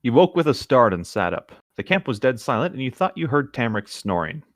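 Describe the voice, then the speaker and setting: A man's voice: mid-range voice, very animated, fairly quick, dry recording, studio quality. A man reading fiction aloud as a co-host, in a narrating style with casual asides.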